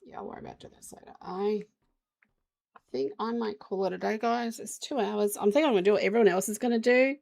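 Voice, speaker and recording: Hoarse woman streamer with a gravelly voice. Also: mid-range voice, fast, a little mellow. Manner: chatty, rambling, talks straight to viewers. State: hoarse, has the flu. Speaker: game live streamer. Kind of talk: casual stream chat with viewers.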